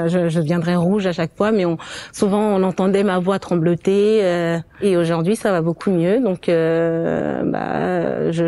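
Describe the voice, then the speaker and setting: A woman's voice: lowish voice, mellow. A woman from Portugal, speaking French as an additional language, gives a personal testimony as an interview guest, with frequent stops and restarts. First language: not French. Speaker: interview guest, quality manager.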